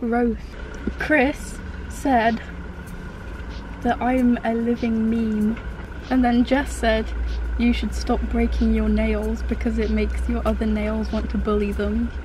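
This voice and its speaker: A woman's voice: fairly high pitch, level tone, slow. A young British woman chatting casually to a vlog camera inside a car, in an informal, rambling, easily flustered way. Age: young adult. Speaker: vlogger. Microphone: camera resting on the dashboard. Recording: car noise in the background. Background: car and road noise.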